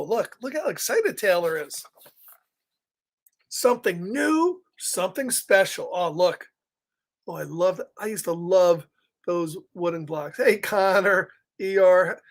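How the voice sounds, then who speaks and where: A male storyteller with a high voice and a slow pace. He reads a picture book live to children, exclaiming over it and chatting casually with listeners between lines. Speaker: children's story reader and host.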